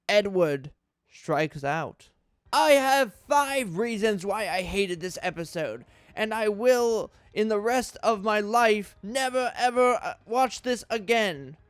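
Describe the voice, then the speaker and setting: A man's voice: high voice, smooth, dry recording, studio quality. A man hosting a mock dramatic reading in a theatrical, exaggerated tone of outrage. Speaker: YouTube host reading a list.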